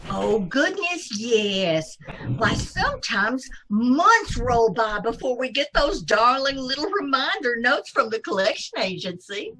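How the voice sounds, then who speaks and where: Woman playing a high, fluttery old landlady. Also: very animated, a little slow, sharp tone, a little hiss or hum, exaggerated voice, rough recording. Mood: gushing innocence. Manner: fluttery, hesitant, gushing. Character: dithering, excitable, man-crazy older landlady. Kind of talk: old-time radio comedy acting.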